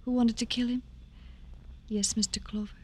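A gentle young woman in mourning, played by an actress, speaks in a high, soft voice. She is earnest and deliberate, with the wistful delivery of a classic radio drama. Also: little pitch movement, close to an everyday voice. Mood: quiet grief. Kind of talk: old-time radio drama acting.

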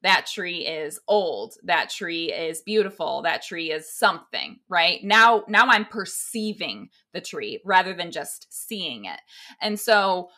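A woman's voice: lowish voice, lively intonation, takes it slowly, sharp tone. A woman co-hosting a podcast, chatting casually and explaining ideas step by step with simple examples. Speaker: podcast co-host.

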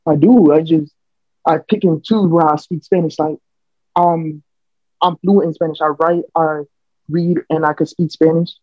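A man's voice: a little high, fairly even intonation, normal speed, no echo. A young American man in casual podcast chat, talking earnestly and rambling with many restarts. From United States. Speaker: podcast co-host. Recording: dull lo-fi podcast audio, dry.

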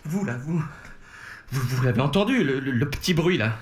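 A man's voice: mid-range voice, lively intonation, moderate pace, lightly acted. An actor playing a frantic man obsessed with a noise, speaking insistently and repeating himself in an audio drama. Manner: agitated, insistent repetition. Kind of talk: French audio fiction acting.

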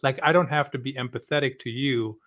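A man's voice: medium pitch, steady tone, fairly quick, fairly bright tone. A man hosting a podcast, talking casually and checking in with "right?". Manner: conversational, asks with "right?". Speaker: podcast host. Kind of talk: casual interview.

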